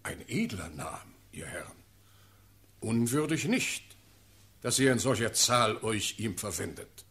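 An actor playing a commanding, shrewd sovereign in a classical verse radio drama, with measured, formal, imperious delivery. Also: male voice, on the low side, big pitch swings, fairly bright tone, exaggerated voice. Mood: probing. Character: commanding, shrewd ruling Elector of Brandenburg.

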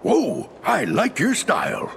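Oafish video game villain, big cartoon cat, gruff rasp, blustering, slow folksy drawl, hugely animated. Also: male voice, fairly high pitch, slight background noise, exaggerated voice. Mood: gloating. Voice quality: gravelly, gruff.

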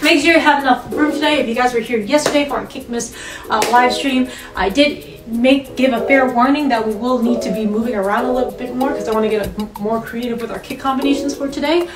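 A woman's voice: on the high side, quick pace, over music. A young woman hosting a casual home vlog, chatty and upbeat, talking in her kitchen. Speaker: host. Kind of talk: casual cooking and workout chat.

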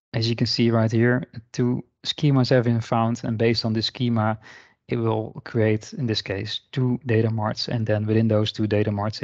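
Man giving a software demo, slightly dull sound. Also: fairly low pitch, no room sound. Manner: explanatory, informal. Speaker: software demonstrator. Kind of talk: screen-share tutorial narration, unscripted. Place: indoor voice-over for screen recording.

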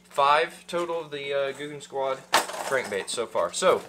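Young guy chatting thru a fishing gear unboxing, lots of ums, mid pitch, bit of faint hiss. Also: expressive, measured pace. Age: young adult. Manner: rambling, many ums and uhs, restarts. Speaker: fishing channel host. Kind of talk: casual unboxing chat. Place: small room indoors, seated at desk.

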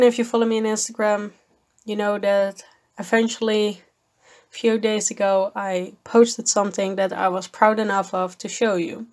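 Slow-talking woman losing her voice. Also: medium pitch, darkish tone. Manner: slow, thoughtful, with fillers and small laughs. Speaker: art channel host. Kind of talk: voice-over commentary on a painting video.

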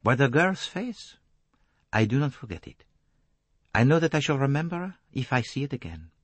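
A man voicing a calm, shrewd, courteous foreign detective, speaking formally and deliberately in an audiobook. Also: mid-range voice, slightly rough, dry recording, voice pushed up. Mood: detached, recounting. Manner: placid, detached, formal, deliberate phrasing.

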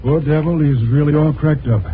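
An actor playing a grave, matter-of-fact hospital doctor in a 1950s radio drama, with clipped clinical phrasing. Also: male voice, a little high, normal speed, close to an everyday voice. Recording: noisy, tinny 1950s radio recording.

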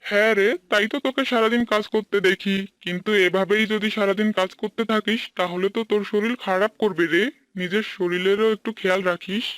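A man's voice: sits high, steady tone, a little fast, a bit bright, no echo. A man voicing a friendly, familiar, brotherly village tea-shop owner in a cartoon. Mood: familiar, caring. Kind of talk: Bengali cartoon dialogue dubbing.

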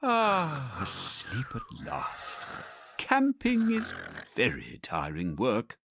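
A woman's voice: very deep voice, huge pitch swings, very slow, heavy background noise. A woman narrating a children's game in an encouraging, praising, sing-song way. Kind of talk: scripted narration for young children. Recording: game audio over loud music.

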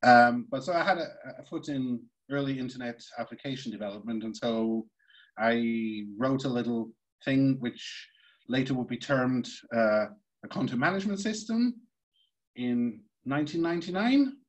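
A man from Switzerland, a podcast guest, telling the story of his career in a relaxed, rambling way. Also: medium pitch, normal speed, highs cut off. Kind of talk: conversational storytelling.

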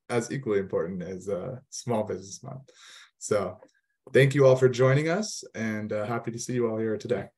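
A man's voice: lowish voice, a little mellow. A young man, a government relations coordinator, introducing himself on a video call with many fillers. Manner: fillers, trailing off.

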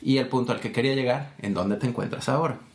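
A man's voice: medium pitch, quick pace. A man hosting a casual podcast interview, asking friendly, curious questions. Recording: roomy conference venue.

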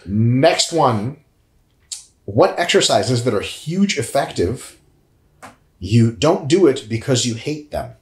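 A man in his thirties on a couch, answering viewer questions in a casual, jokey, profane way, with big swings in pitch. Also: very animated, slow, pauses often. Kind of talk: casual chat. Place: indoor room, on a couch. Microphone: lapel mic. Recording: echoey room.

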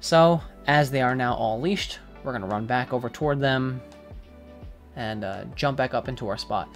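A man giving a relaxed, casual walkthrough of a game over gameplay, with frequent fillers. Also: mid-range voice, moderate pace, soft background music. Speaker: gaming guide creator.

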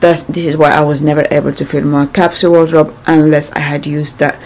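A woman in her thirties, croaky from little sleep, chatting casually to a handheld camera in her small apartment, rambling with many fillers. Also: low-pitched, little pitch movement, a little fast, darkish tone, a little hiss or hum. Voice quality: gravelly. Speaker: vlogger. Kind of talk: casual vlog talk to camera. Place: small apartment bedroom, indoors. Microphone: handheld camera at arm's length.

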